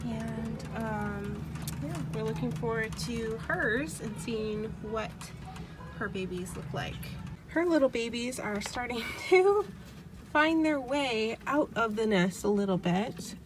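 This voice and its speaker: A woman's voice: a little high, animated, slow, over music. A young woman chatting casually as she vlogs on a farm, recorded outdoors on a handheld phone. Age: young adult. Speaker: homestead vlogger. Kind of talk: casual vlog chat. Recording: noisy outdoor phone audio.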